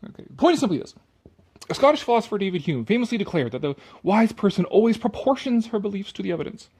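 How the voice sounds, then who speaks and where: A man's voice: high-pitched, big pitch swings, fast, darkish tone, husky. A man reading an article aloud with casual, sarcastic commentary, blunt and dismissive, prone to swearing. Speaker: online commentator.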